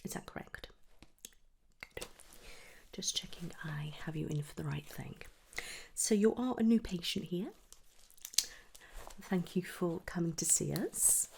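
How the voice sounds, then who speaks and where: A woman softly whispering an ASMR roleplay as a gentle, reassuring hair clinic specialist. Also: lowish voice, a little slow, creaky, pauses often, a little hiss or hum. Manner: soft, gentle, reassuring clinician speech, often dropping into whisper. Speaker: ASMR performer playing a trichologist at a hair clinic.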